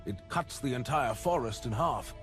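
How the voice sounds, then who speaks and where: A man's voice: medium pitch, lively intonation, normal speed, close to an everyday voice. A man playing a wary village dweller from an ink world in a video game, cautioning in a theatrical way. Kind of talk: video game character acting. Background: game music.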